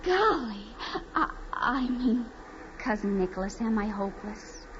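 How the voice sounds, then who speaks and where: A woman's voice: a little high, lively intonation, measured pace, noisy background. An actress playing a naive, eager young farm woman, earnest and wistful, in dramatic 1940s radio style. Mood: shy, eager. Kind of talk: 1940s radio acting, dramatic first-person narration. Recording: muffled 1940s radio recording.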